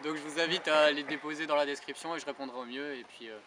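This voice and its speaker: A man's voice: fairly high pitch, fairly even intonation, fast. A man giving an informal motorcycling tutorial outdoors, speaking colloquially and poking fun at himself. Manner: self-deprecating, joking, colloquial. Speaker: motorcycling vlogger presenting a tutorial. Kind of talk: informal spoken tutorial with casual banter. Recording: noisy outdoor recording with vehicle sounds.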